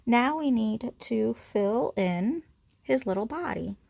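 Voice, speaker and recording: A woman's voice: fairly high pitch, lively intonation, slow pace, creaky, darkish tone, no room sound. A woman giving a casual step-by-step craft demonstration, informally talking through each action as she works. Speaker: craft tutorial instructor.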